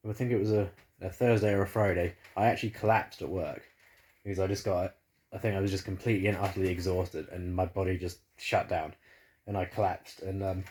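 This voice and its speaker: Man, home vlogger, congested, rambling delivery, low mellow tone, phone-recorded in living room. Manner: rambling, many fillers and restarts. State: congested after flu. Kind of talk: casual chat to camera. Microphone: phone camera.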